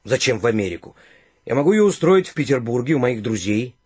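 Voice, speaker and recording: A stern, curt grown son. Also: male voice, mid-range voice, big pitch swings, brisk, a little mellow, breathy edge. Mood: resolute. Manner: curt, reproachful, sardonic. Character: stern, indignant grown son back from abroad. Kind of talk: radio drama acting.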